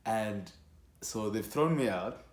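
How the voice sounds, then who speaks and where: A young man from Rwanda telling a personal story casually, in an animated, slangy and profane way. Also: on the low side, takes it slowly. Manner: animated, slangy, profane, with acted-out quotes and sound effects. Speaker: storytelling vlogger. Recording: echoey, roomy sound.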